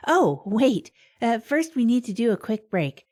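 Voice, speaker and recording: A woman plays a wry small-town radio host in a scripted comedy show. She rambles hesitantly through self-deprecating asides, her pitch lively, with music around her voice. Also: measured pace.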